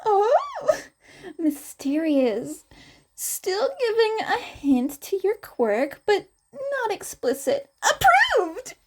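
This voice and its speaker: An excitable woman teacher from an audio story, acted by a female reader, pitched very high and swooping. She is dramatic and gushing, delivering verdicts in exclamations. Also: very slow, background noise, exaggerated voice. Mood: delighted. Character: excitable theatrical adult woman teacher judging hero names.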